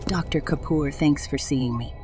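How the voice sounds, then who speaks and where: A woman's voice: sits low, normal speed, lightly acted. A woman playing a determined, persistent female reporter in a sci-fi audio drama. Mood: probing, polite. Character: determined, fearless young female reporter on Mars. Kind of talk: audio drama acting and narration. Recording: voice over loud music.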